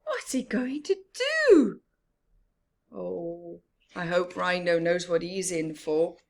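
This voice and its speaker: A woman reading a picture book aloud expressively for young children, pausing for asides to her listeners. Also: on the low side, expressive, gravelly. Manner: slow, deliberate storyteller addressing small children. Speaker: children's story reader.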